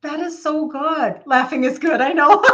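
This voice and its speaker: A woman in her fifties with a highish voice and a Minnesota accent. She hosts a casual live chat, talking to viewers by name in an excitable, gushing way and repeating phrases for emphasis. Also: slow pace, lo-fi sound. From Minnesota, United States. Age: 50s. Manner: chatty, addresses viewers by name. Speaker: live stream host, health coach.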